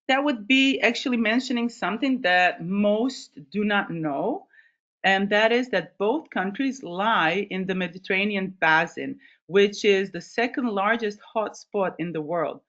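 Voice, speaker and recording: A woman's voice: mid-range voice, fairly bright tone. A woman in her thirties from Croatia, an expedition leader giving an informal webinar presentation with frequent ums and restarts. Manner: hesitant, many ums and restarts. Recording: slightly dull, lo-fi webinar audio.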